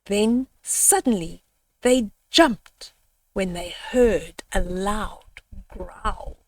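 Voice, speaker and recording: Lady reading a kids bedtime story, real slow, highish voice, little bit off the mic. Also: darkish tone, recorded from a distance. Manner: deliberate, addressing young listeners. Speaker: children's storyteller. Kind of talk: read-aloud bedtime story with asides.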